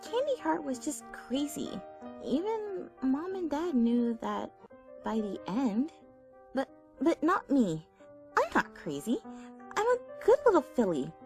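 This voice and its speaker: A very high, animated little filly pony in an audio story. She is unhinged and sweetly obsessive, speaking in halting, insistent phrases, with music underneath. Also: full character voice. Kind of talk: dramatised fan fiction reading.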